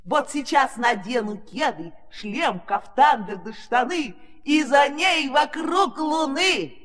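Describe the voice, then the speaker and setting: A man's voice: very high voice, animated, exaggerated voice. A man playing a grumbling, boastful old witch in a musical fairy-tale audio play, speaking in theatrical rhyme. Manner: slow, theatrical rhymed speech. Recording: reverberant hall, faint music behind.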